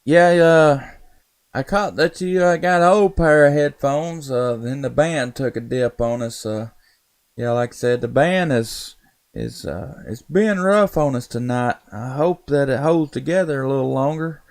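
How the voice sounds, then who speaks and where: A man's voice: mid-range voice, a little slow. A man chatting casually and in a folksy, laid-back way as an amateur radio operator on a live stream. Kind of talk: casual ham radio chat.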